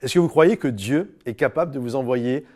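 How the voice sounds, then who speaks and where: A man's voice: mid-range voice, brisk, a little mellow. A man from France, speaking as an informal preacher and storyteller, emphatic, stretching key words and addressing listeners directly. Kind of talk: informal devotional talk with personal storytelling.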